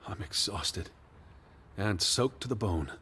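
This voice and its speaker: Deep-voiced, formal, weary 1920s gentleman. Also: expressive, takes it slowly, slight background noise, heavily acted. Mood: exhausted. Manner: formal, earnest, precise. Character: weary, anxious, bookish middle-aged gentleman searching for his sister. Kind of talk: 1920s period drama video game acting.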